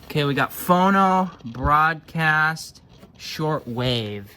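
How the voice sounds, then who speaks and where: A man's voice: on the high side, lively intonation, extremely slow, sharp tone, slight background noise. A man giving casual, hands-on commentary as a hobbyist electronics repairer, offhand and muttering as if to himself.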